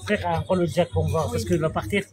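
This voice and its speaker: A jovial man co-hosting a live stream, chatting rapidly and mixing languages as he signs off. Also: a little high, steady tone, over loud music. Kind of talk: casual live-stream sign-off chat.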